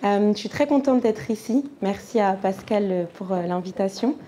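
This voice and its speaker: A woman's voice: medium pitch, normal speed, warm tone, slight background noise. A woman in her thirties, from France, giving a personal church testimony in a conversational way with frequent hesitations. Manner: conversational, many fillers and restarts.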